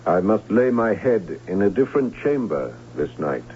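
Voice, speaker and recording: An actor playing a melancholy, ghostly young Count in an old radio drama, speaking in a halting, solemn, formal way. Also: male voice, lowish voice, sing-song, a little mellow. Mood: grave, regretful. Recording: lo-fi old radio, hum and noise.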